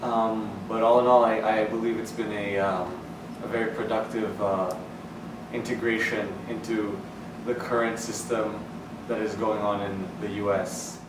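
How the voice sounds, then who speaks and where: A young man from the Philippines, a student, answering interview questions in a measured, formal way. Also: lowish voice, little pitch movement. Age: young adult. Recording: echoey room, distant mic, clear hiss.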